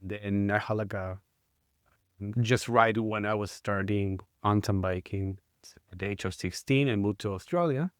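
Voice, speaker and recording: A man in his thirties from Chile, with a Chilean Spanish accent, talking as a guest in a casual interview, halting and full of fillers and restarts. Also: lowish voice, smooth, no echo, clean studio sound. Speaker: guest, mountain bike guide.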